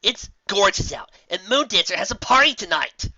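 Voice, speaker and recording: Baby dragon sidekick, adult male reader: young, male, grousing, bright and lively storybook delivery. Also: sits high, moderate pace, no room sound, muffled recording, voice pushed up, heavily acted. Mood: pleading, dismissive.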